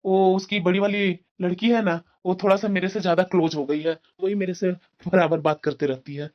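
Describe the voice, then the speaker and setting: Muffled lo-fi audio, high-pitched man acting as cartoon husband, evasive, irritable, doting uncle. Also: steady tone, fairly quick, natural voice. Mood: weary. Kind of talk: Hindi moral-story cartoon dialogue.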